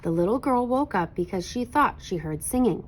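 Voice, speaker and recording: A woman narrating a children's picture book aloud in an expressive read-aloud style. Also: medium pitch, moderate pace.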